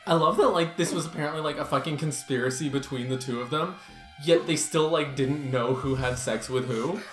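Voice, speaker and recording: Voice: medium pitch, a little fast. A person chatting casually and giggling through commentary on a dramatic reading. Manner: giggly, talks with lots of laughter. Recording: roomy echo, music underneath.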